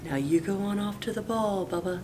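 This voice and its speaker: A woman reading a storybook, voicing a gentle magical cow in a soft, breathy hush. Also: mid-range voice, fairly quick, noisy background, natural voice. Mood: gentle, mysterious. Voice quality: breathy, hushed.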